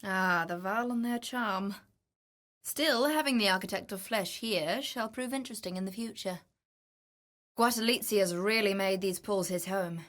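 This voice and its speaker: Casting: actress as adventurous female treasure seeker for a fantasy game, mid-pitched, measured, theatrical, wryly knowing. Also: a bit bright, close to an everyday voice. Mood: dry, musing. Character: wry, adventurous woman temple explorer and treasure seeker. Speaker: game companion character. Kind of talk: scripted video game voice lines.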